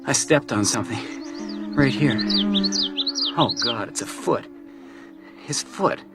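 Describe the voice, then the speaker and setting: A man's voice: medium pitch, expressive, takes it slowly, over loud music. An actor playing a sadistic, theatrically playful male villain in a radio crime drama. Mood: shaken, squeamish. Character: sadistic, taunting male villain who plays deadly games.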